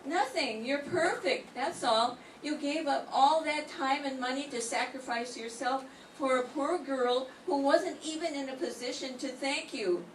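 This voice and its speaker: An adoring, devoted secretary from a vintage detective radio play, performed by an older woman. The voice is high and gushing, with theatrical sweetness. It is recorded from afar in an echoey space. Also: measured pace, few pauses. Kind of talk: 1940s radio detective acting. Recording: roomy distant mic, clear background noise.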